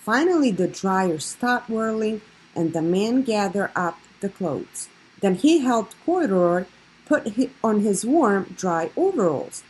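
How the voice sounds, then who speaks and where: Husky, animated woman reading a children's story. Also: medium pitch, unhurried, poor audio. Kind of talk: expressive read-aloud narration.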